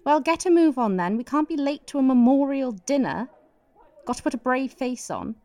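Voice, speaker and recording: Woman acting a bossy, sardonic political wife, high-pitched. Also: expressive, normal speed, warm tone, no echo. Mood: impatient, scornful. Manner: sardonic, curt, commanding. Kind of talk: satirical radio play acting.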